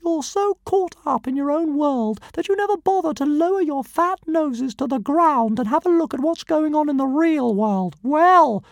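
A man voicing a tiny, arrogant male dragon who speaks grandly and insults everyone, in a squeaky, haughty manner. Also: lively intonation, normal speed, vocal fry, few pauses, dry recording, full character voice. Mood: indignant, scornful. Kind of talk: audiobook character voice.

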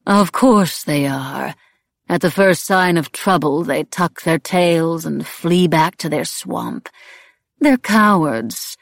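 A woman playing a cruel, imperious blood witch in an audiobook, speaking with slow, deliberate disdain. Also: low voice, animated, creaky, dry recording, clean studio sound, heavily acted. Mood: scornful. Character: cold, cruel, commanding blood witch matriarch.

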